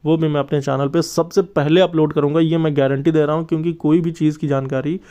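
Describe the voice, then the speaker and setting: A man's voice: fairly high pitch, little pitch movement, a little fast, dark tone, smooth, dull audio. A man giving an informal update to viewers as a channel host and tutor, explaining earnestly and wordily.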